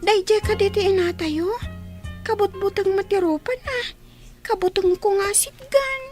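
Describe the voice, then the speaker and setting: A woman's voice: very high-pitched, a little slow, music in the background. A woman voicing a timid youngest child, a hesitant little girl, in an Ilocano radio drama. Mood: fearful.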